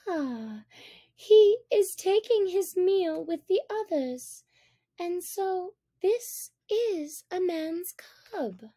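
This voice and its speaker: A woman voicing a protective mother wolf in a storybook, with an emphatic, drawn-out, expressive delivery. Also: very high-pitched, pitched above the performer's own voice, heavily acted. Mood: tender wonder. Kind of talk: storybook narration character voice.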